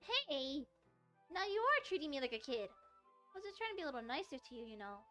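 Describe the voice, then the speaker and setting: A woman plays a small, feisty visual novel schoolgirl with a high voice. The girl is snappy, defensive and easily flustered, over background music. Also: sing-song, fairly quick, sharp tone, exaggerated voice. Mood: indignant. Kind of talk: visual novel dialogue read aloud.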